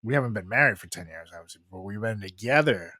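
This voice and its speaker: A man's voice: fairly low pitch, expressive, quick pace, sharp tone, no room sound. A man co-hosting a podcast in casual chat, joking and laughing often as he hypes the show. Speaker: podcast co-host.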